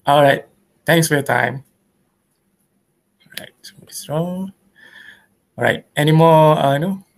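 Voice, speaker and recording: A man's voice: a little high, slow pace, gravelly. A man casually hosting an online meetup over a video call. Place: indoors at home on a video call. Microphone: video call microphone.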